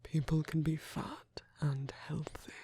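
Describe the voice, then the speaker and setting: A performer playing a tender villain comforting a lover in an intimate ASMR roleplay, often whispering in a gentle, coaxing way close to the microphone. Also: mid-range voice, little pitch movement, slow. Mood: tender, reassuring. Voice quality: breathy, husky, often whispering.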